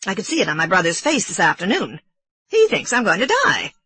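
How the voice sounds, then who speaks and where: A woman's voice: mid-range voice, big pitch swings, fairly quick, breathy edge, dry recording, exaggerated voice. A woman voicing an irritable middle-aged man who is ill, questioning sharply. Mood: probing. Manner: sharp, interrogating.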